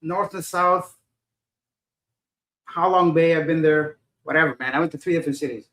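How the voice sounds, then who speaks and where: A man's voice: fairly high pitch, moderate pace, a bit bright. A middle-aged man hosting a casual livestream, reading viewers' comments aloud and answering them in a rambling, self-deprecating way. He sounds tired and unfocused. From Afghanistan. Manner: rambling, loses his train of thought, self-deprecating. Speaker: livestream host reading chat. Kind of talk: casual live chat.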